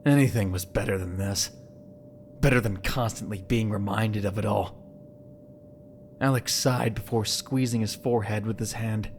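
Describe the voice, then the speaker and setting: A man's voice: on the low side, moderate pace, a little hiss or hum. A man giving scripted, dramatic story narration for an audio drama. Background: background music.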